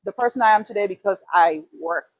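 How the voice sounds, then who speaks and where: A woman's voice: medium pitch, fast, no echo, highs cut off. A woman in her forties from Guyana with a Guyanese accent, answering interview questions in an earnest, emphatic way. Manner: earnest, emphatic, repeats key phrases. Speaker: guest, esthetician and business owner.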